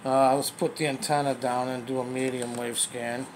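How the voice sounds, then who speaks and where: A man's voice: mid-range voice, level tone, moderate pace, rough recording. Casual man demonstrating gear over background noise. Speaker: radio reviewer, presenter. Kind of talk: casual hands-on product demonstration.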